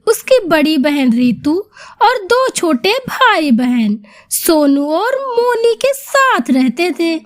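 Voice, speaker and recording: A woman narrating a scripted story as its storyteller. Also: extremely high pitch.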